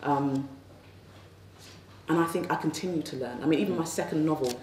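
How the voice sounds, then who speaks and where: A woman's voice: low-pitched, darkish tone, slight background noise. Reflective woman in an echoey room. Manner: thoughtful, personal, slowing on key phrases. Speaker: panelist, novelist. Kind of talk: reflective panel talk. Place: festival panel room. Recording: echoey room, mic at a distance, faint ambience.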